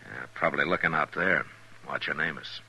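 A weary, resolute American marshal of the Old West. The voice is very low and sharply forceful, with clipped, authoritative replies. It sounds like a 1950s radio western with faint background noise. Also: male voice, brisk, gravelly, exaggerated voice, poor audio. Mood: watchful, serious.

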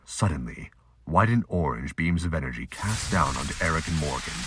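A man's voice: very deep voice, lively intonation, fairly quick, noisy background. A man giving scripted, audiobook-style narration for an audio drama.

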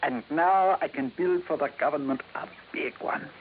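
Male actor as older scientist, effusive, boastful, highish voice, old radio drama, thin hissy sound. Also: normal speed, natural voice. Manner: stammering, grandiose, effusive. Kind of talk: 1950s radio drama acting. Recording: tinny, hissy 1950s radio recording.

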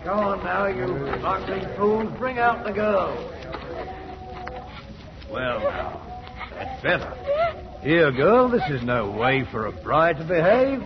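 An actor in a 1940s radio drama playing a proud, stubborn British adventurer who made himself king, blustering and rough-spoken. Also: sits high, very animated, takes it slowly, pauses often, background noise, exaggerated voice. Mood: domineering. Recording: muffled 1940s radio recording with music.

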